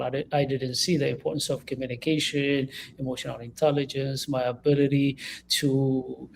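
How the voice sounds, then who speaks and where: A man's voice: fairly high pitch, creaky, darkish tone, slight background noise. A middle-aged man from Ethiopia, a leadership coach speaking as an interview guest, earnest and emphatic.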